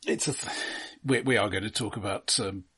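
Middle aged british bloke talking films, lowish slightly rough voice, measured and analytical. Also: male voice, fairly bright tone. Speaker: film discussion podcast co-host. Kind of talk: casual film discussion.

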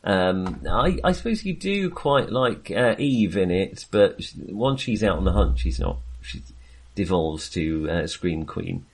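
Husky, animated man, hesitant and wandering. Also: sits low, unhurried. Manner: hesitant, wandering, chuckly self-amused asides. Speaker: film podcast co-host. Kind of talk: casual film discussion.

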